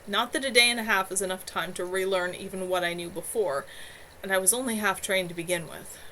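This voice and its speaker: A woman's voice: mid-range voice, brisk, sharp tone, slight background noise, natural voice, poor audio. A woman reading a sardonic man's lines in a dry tone. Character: dry sardonic man, once weakened mage.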